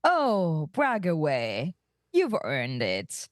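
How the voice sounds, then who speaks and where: A woman's voice: low voice, huge pitch swings, extremely slow, no echo, studio quality, heavily acted. A woman reading the part of a seductive, unapologetic female alien space rogue in a comedic table read. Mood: sultry, sly.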